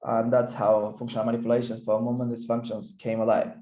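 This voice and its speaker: A man whose first language is Spanish, speaking English with a Spanish accent, gives an online physiotherapy lecture, often halting and repeating words as he searches for English. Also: medium pitch, monotone, mellow, roomy sound. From Chile. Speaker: physical therapist presenter.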